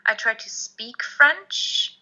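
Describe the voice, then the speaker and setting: Woman, thirties, very bright voice, dull lo-fi headset call audio, emphatic, laughs a lot. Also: a little high, takes it slowly. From Germany. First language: German. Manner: lively, emphatic, laughs often. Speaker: guest, language blogger and podcaster. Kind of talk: casual video call chat. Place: home room, indoors. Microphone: headset on video call.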